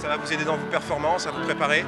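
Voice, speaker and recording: Male interviewer, informal, very rapid questions, raised pitch, music behind. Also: flat delivery. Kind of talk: casual interviewing.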